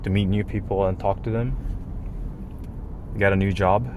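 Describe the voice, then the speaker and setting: A man with a low voice and Japanese as his native language talks in a casual, rambling vlog monologue. His phrasing is non-native and slangy, with some swearing. Clear street and vehicle noise sits behind him. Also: fairly even intonation, moderate pace. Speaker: vlogger.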